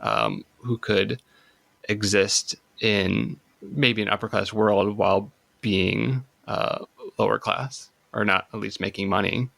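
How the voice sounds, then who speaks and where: A man who grew up in the Chicago suburbs speaks as a guest on a casual podcast. His voice is low and gravelly with plenty of vocal fry, and he thinks his points through in a measured way. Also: animated, a bit bright. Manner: thoughtful, measured. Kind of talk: casual chat.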